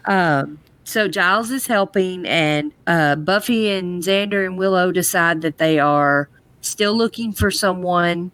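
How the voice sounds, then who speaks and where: A woman's voice: on the low side, a little slow, a bit bright, clear, resonant tone, dry recording. A woman co-hosting a podcast, casually recapping and chatting about a show. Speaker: podcast co-host. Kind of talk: casual chat, retelling episode.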